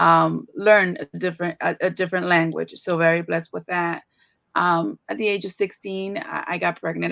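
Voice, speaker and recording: A woman's voice: fairly low pitch, creaky, no room sound. A middle-aged woman from the Dominican Republic, a native Spanish speaker, earnestly telling her life story as a guest speaker, with many ums and restarts.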